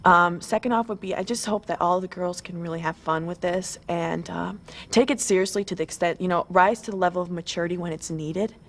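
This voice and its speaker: An eager twenty-year-old woman talks fast and loosely in an interview, looping through fillers and restarts. Her voice sits a little low for a woman. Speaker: model contestant. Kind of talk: pre-show interview.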